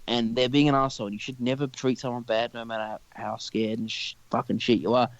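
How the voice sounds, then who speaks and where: A man's voice: mid-range voice, flat delivery, quick pace, no echo, muffled recording. A young man hosting a podcast, chatting casually in a rambling way and swearing freely. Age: young adult. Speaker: podcast host. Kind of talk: casual chat.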